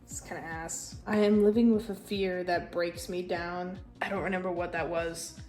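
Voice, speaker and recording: A young woman with a congested, stuffy voice, casually touring her sketchbook in a tired, low-key way and swearing now and then. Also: fairly even intonation, moderate pace, a little mellow, soft background music. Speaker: artist showing a sketchbook.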